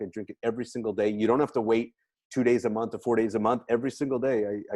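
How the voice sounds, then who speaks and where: A man's voice: fairly low pitch, dark tone, no room sound. A man speaking casually and emphatically as he promotes a product, repeating phrases to drive points home.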